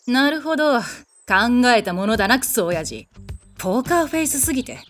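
A woman playing a haughty, short-tempered goddess in a comedy audio drama, brusque and imperious in rough phrasing. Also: on the high side, sing-song, brisk, soft background music. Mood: irritated.

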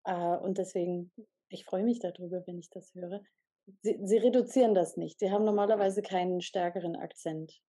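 A woman's voice: on the low side, steady tone, a little fast, warm tone, slightly rough. A woman hosting a radio interview, asking curious questions in a friendly, casual way and laughing often.

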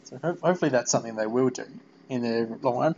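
A man's voice: mid-range voice, fast talker, vocal fry, darkish tone. Australian man hosting, dull lo-fi audio. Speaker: podcast host interviewer. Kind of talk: casual interview chat.

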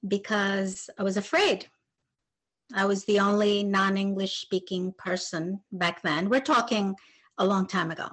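A woman's voice: medium pitch, a bit bright. A woman in her sixties from Argentina, a native Spanish speaker, telling her story in an interview as a retired translator.

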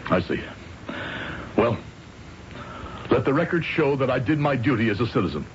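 An actor playing a troubled, earnest man with a halting, solemn, insistent way of talking, in old-time radio drama style. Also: medium pitch, big pitch swings, natural voice. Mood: resigned. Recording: dull lo-fi old radio recording, faint noise.

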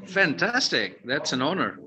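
A man's voice: fairly high pitch, very animated, a little slow, pushed and bright, slight background noise. A man from Nepal with a Nepali accent, answering conversationally as an interview guest over a dull, lo-fi connection. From western Nepal. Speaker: podcast guest, tour company founder.

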